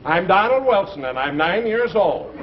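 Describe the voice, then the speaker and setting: A man's voice: high-pitched, big pitch swings, moderate pace, a crowd in the background. A man playing an earnest, guileless chubby nine-year-old schoolboy in a 1950s comedy sketch, on an old broadcast. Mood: earnest, deadpan. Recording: muffled, very noisy 1950s broadcast.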